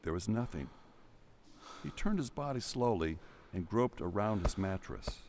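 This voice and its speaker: Male narrator, low pitch, dramatic scripted storytelling, audio drama, faint background noise. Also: animated, a little slow, a little mellow.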